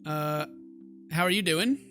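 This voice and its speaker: Bright-voiced man doing jokey game commentary. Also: fairly high pitch, unhurried, soft background music. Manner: jokey, exclamatory, mutters asides. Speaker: gaming video host. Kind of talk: casual game commentary mixed with reading text aloud.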